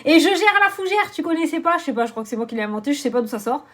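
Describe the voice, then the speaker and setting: A woman's voice: sits high, rapid-fire. A woman, chatting animatedly to camera as a tarot reader, swearing and joking about herself. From southern France. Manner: animated, swearing, laughing, self-mocking. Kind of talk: casual chatty vlog.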